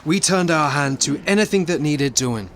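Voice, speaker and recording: An earnest 1940s touring actor. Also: male voice, on the high side, animated, moderate pace, fairly bright tone, a little hiss or hum. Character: loyal, good-humoured male actor in 1940s touring company. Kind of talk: audio drama acting.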